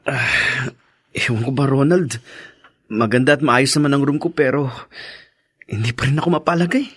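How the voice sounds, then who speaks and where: A devoted young husband in a radio soap. His voice is medium in pitch. He sounds earnest and full of concern for his wife. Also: moderate pace, a bit bright, slightly rough. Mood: uneasy. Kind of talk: Tagalog radio drama acting.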